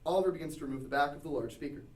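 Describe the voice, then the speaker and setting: A man's voice: fairly high pitch, rapid-fire. A man reading scripted stage directions as narrator at a table read. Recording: echoey room, distant mic.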